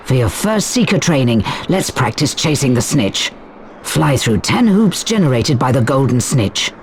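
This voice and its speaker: An actor playing a brisk, formal flying instructor at a wizard school, giving instructions in a video game. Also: male voice, mid-range voice, sing-song, a little hiss or hum. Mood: brisk, instructive. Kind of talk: video game tutorial acting.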